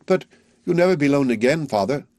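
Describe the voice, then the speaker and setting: A man's voice: on the high side, animated, a little fast, darkish tone, no room sound, voice pushed up, lightly acted. A tender, artless young country girl speaks in a raised, lilting voice, simple and earnest in every word, as a male narrator puts her on. The sound is close and dry, with no room around it.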